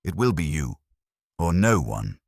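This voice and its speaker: An anxious but determined bakery owner from a detective story, a woman played by a man speaks low and earnestly. The pleading halts and swings in pitch. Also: big pitch swings, a little slow, no echo, studio quality, heavily acted. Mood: tired, pleading.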